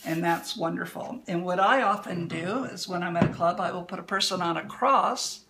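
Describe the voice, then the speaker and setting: A woman calmly explaining a hands-on demonstration at a table for a livestream, a little hoarse. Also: fairly low pitch, background noise. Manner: calm, explanatory, unhurried. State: slightly hoarse. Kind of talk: instructional demo talk. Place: indoor room at a table.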